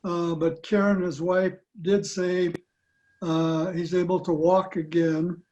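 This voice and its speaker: An older man talking informally on a video call in a measured, halting way. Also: sits high, level tone, mellow, dull audio. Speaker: club member. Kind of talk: informal meeting talk. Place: indoors at home, video call.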